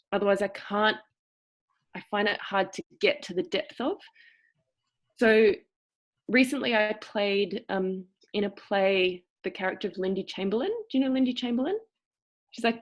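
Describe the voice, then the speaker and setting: A woman with a cultivated, trained-sounding Australian accent, teaching an acting seminar over a video call. She talks thoughtfully, stopping often to find the right word. Her voice sits at a middle pitch for a woman. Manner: thoughtful, searching for words, with pauses. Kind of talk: explanatory seminar talk.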